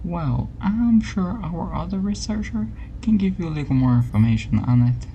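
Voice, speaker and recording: Woman as an eerie scientist, very dark breathy voice. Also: fairly low pitch, lively intonation, moderate pace, slight background noise. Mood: eerie, hushed. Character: suspicious female scientist-historian, calm and quietly eerie. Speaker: researcher giving a briefing. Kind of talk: spooky ASMR roleplay, soft-spoken.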